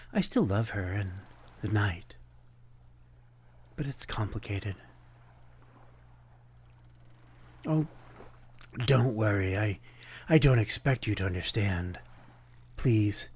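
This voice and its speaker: Man playing a slow, low-voiced courtly vampire. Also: breathy edge, heavily acted. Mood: wistful, then reassuring. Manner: formal, old-fashioned, gently wistful. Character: courtly, melancholy male vampire stranger by a harbor. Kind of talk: ASMR roleplay, intimate scripted monologue.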